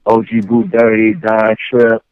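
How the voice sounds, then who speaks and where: A man's voice: lowish voice, monotone, measured pace, clear, resonant tone, no echo, lo-fi sound. A man from Chicago with a slight Southern accent, chatting casually as an interviewed rapper and often checking in with "you know" phrases. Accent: Chicago with slight Southern tinge. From Chicago, later Mississippi. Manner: conversational, frequent "you know what I'm saying".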